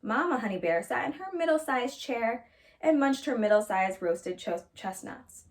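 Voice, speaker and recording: A woman reading a children's storybook aloud as a storyteller, in an expressive narrating style. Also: a little high, moderate pace.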